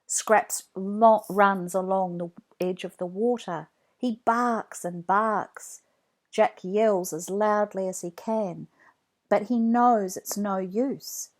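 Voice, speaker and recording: A woman narrating a children's read-along story from a script, in an expressive storytelling manner. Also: mid-range voice, slow.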